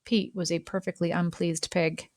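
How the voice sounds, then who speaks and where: A woman reads a children's story aloud in an expressive narrating style, phrasing deliberately and leaving dramatic pauses. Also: fairly low pitch, no echo, clean studio sound. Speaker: storybook reader.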